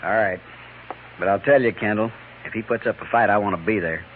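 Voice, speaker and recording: An actor playing a brusque, vengeful saloon boss in a 1950s radio western, with blunt, folksy, urgent delivery. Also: male voice, fairly low pitch, a little fast, natural voice. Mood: angry, suspicious. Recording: muffled, noisy old radio broadcast.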